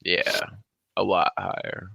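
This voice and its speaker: A man's voice: takes it slowly, pushed and bright, no room sound, clean studio sound. An American man co-hosting a show, chatting casually in a blunt, profane way. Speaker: co-host. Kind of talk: casual chat.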